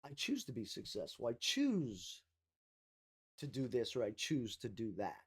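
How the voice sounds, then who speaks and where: A mindset coach giving an emphatic motivational talk, repeating key phrases, with a slight cough. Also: male voice, mid-range voice, wildly swooping pitch, a little slow, husky.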